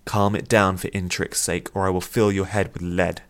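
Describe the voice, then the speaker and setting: A man's voice: sits low, little pitch movement, moderate pace. A man voicing a fierce, threatening woman with a pistol in an audiobook story, snarling her commands. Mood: snarling threat.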